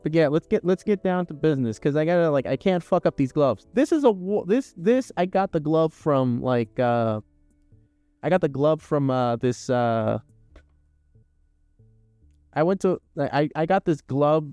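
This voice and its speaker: A young man livestreaming while he crafts, rambling through chat with frequent swearing and laughter. His voice sits slightly high but mellow in tone. The sound is dry and close, with faint music underneath. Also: animated, normal speed, clear, resonant tone, no room sound. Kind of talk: casual live stream chat while crafting.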